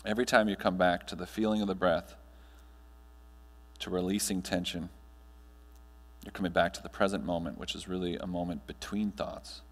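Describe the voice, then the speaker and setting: Low-voiced American man in a reverberant hall. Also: fairly even intonation. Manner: measured, instructional delivery with deliberate pauses. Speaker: keynote speaker and trainer. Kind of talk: guided breathing meditation and talk to an audience. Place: large hotel conference ballroom, on stage.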